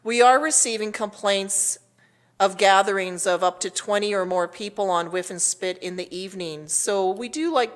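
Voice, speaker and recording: A woman with a Canadian accent speaking as mayor, reading out a formal public address in a measured, official manner. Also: mid-range voice, smooth.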